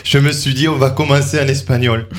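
A man hosting a radio show, introducing a guest with frequent pauses, fillers and restarts. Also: mid-range voice, fairly even intonation. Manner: hesitant, many fillers and restarts. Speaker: radio host. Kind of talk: casual radio interview.

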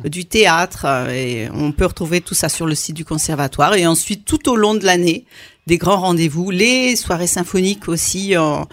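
A woman's voice: on the low side, moderate pace, dry recording. A woman who directs a music school, speaking as a radio guest, hesitant and full of fillers as she lists events. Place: radio studio.

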